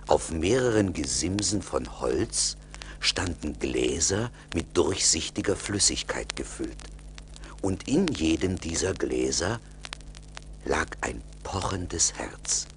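A man narrating a scripted fairy tale as a measured, dramatic storyteller. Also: rumbling low, expressive, fairly bright tone, a little hiss or hum. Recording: 1980s vinyl radio play with music.

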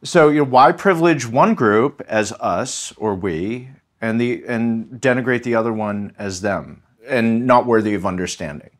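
A man with an American accent, a history professor speaking as an interview guest in a measured, articulate way. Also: medium pitch. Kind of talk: thoughtful interview talk.

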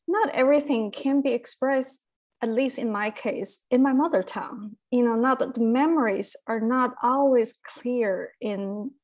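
A middle-aged woman with a Chinese first language answers an interviewer over a video call, her voice sitting fairly high. She speaks thoughtfully, pausing and restarting as she searches for words. The sound comes through an earphone mic in a room at home. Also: darkish tone. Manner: thoughtful, halting, with restarts and fillers. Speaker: author being interviewed. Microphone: earphone headset mic on video call.

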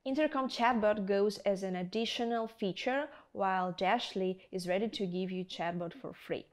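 Young woman, medium-pitched voice, video presenter, scripted promotional delivery, speaks straight to viewers. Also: moderate pace. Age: young adult. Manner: addresses viewers directly, rhetorical questions. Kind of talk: scripted promotional product review. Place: indoor studio with plain backdrop.

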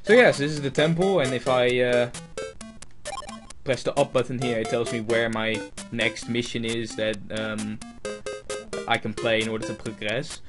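A man's voice: medium pitch, steady tone, normal speed. A young man from the Netherlands with a Dutch accent, commentating casually on a video game he is playing, rambling and self-mocking with lots of fillers, with game music behind him. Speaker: let's play host.

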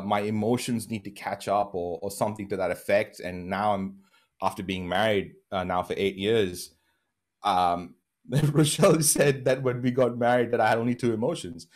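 A man's voice: fairly low pitch, normal speed. A man from Bahrain in his thirties, a podcast guest talking earnestly from his home over a video call, laughing often and restarting his sentences. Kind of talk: reflective interview conversation.

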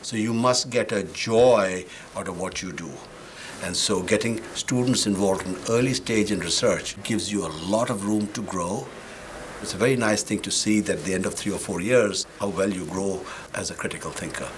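An older male professor answers in an interview with a deep voice. There is clear background noise in the room. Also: normal speed. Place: book-lined office.

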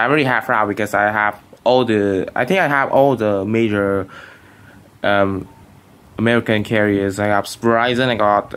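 Halting young man, slightly low voice. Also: smooth. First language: Vietnamese. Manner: rambling, halting, many restarts and fillers. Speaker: phone collector vlogger. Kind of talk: casual show-and-tell narration. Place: bedroom at home.

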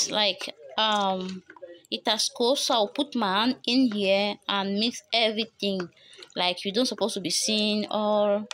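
A woman's voice: mid-range voice, a bit bright, a little hiss or hum. A young woman making a home beauty vlog, chatting to viewers as she works, recorded on a phone with no microphone. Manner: chatty, repeats herself, addresses viewers as guys. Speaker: beauty vlogger. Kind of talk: casual how-to vlog. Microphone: phone camera, no microphone.

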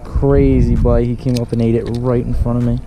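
A man's voice: medium pitch, a little fast. A young man on a fishing boat, chatting casually and calling out each fish he catches, recorded on a head-mounted action camera outdoors. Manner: upbeat, excited play-by-play of catches. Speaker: fishing vlogger. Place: small boat on a lake, outdoors. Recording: outdoor wind and water noise.

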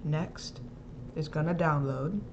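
A casual, rambling speaker hosting an informal step-by-step software tutorial, recorded close to the mic as a voice-over. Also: medium pitch, steady tone, slow pace, lo-fi sound, background noise. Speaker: tutorial host.

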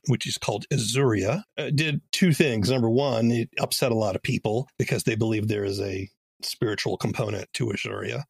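Gravelly, husky man hosting an interview. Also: medium pitch, dry recording. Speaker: podcast interviewer, host. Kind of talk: conversational interview, summarizing and asking.

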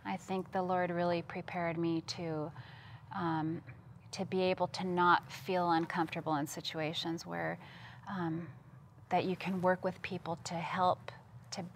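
A woman from Costa Rica speaks as a guest in a studio interview. Her voice sits slightly low and her delivery is earnest, like a personal testimony told in conversation. Some background noise is audible. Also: flat delivery, measured pace. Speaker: interview guest, missions worker. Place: TV studio, seated at a table.

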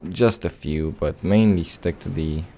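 A man's voice: low voice, measured pace, warm tone. A man doing casual gameplay commentary with a hesitant, rambling, playful delivery. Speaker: let's play host.

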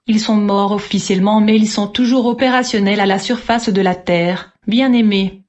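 A woman reads scripted narration in a mid-range voice. Her delivery is measured and formal, and the recording sounds dull and lo-fi. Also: medium pitch, darkish tone. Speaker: narrator reading a testimony. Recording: dull, lo-fi playback.

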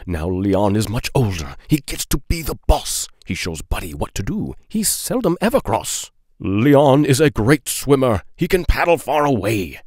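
A man reading children's rhyming poems aloud in a playful, deliberate, sing-song storybook style. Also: mid-range voice, sing-song, darkish tone, no room sound. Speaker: children's book read-aloud narrator.

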